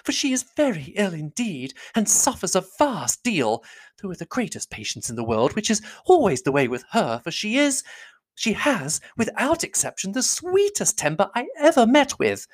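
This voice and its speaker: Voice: medium pitch, huge pitch swings, moderate pace, voice pushed up. A reader voicing a fussy, effusive middle-aged mother who is easily offended, with a gushing, emphatic manner.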